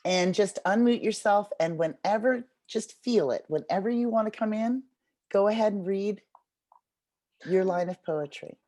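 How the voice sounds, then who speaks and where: A woman's voice: mid-range voice, moderate pace, dark tone. A woman facilitating an online group writing activity over a video call, speaking in an encouraging manner. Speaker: workshop facilitator.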